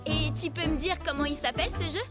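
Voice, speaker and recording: A woman's voice: sits high, fast, close to an everyday voice. A woman voicing a frank, inquisitive and protective young cave girl in a French children's cartoon. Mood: curious. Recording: loud cartoon music behind.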